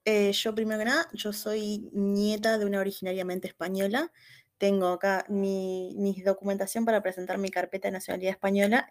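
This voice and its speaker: A woman's voice: medium pitch, a little mellow. A woman from Argentina with an Argentine accent, calling in to a live chat and politely laying out her question in detail. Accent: Argentine Spanish. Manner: polite, detailed, thinking aloud with "eh". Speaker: caller. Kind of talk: asking a question on a live call.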